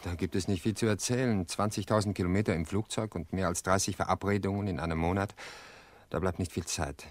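An actor playing an earnest, weary middle-aged widower in a radio play, speaking in a measured, reflective way with hesitant pauses. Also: male voice, low voice, steady tone, dry recording, lightly acted. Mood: warm, courteous. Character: weary, earnest widower confessing to his sister-in-law. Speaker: actor in an audio drama.

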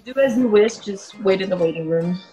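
A woman's voice: medium pitch, fairly quick, warm tone, faint music behind, rough recording. A young woman leading a mock audition in an upbeat, bossy, mock-formal way over a video call.

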